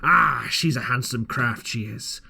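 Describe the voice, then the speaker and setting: A man voicing a sly, folksy pirate cook who talks fondly in slow, rustic sailor speech for a storybook. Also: mid-range voice, gravelly, pushed and bright, slightly rough, heavily acted. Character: sly, folksy pirate sea-cook fond of his parrot.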